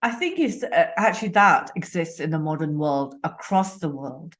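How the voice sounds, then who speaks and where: A woman in her late fifties hosting a podcast, talking in an upbeat, emphatic and animated way with her guest. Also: low voice, moderate pace. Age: late 50s. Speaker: podcast host. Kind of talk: interview conversation.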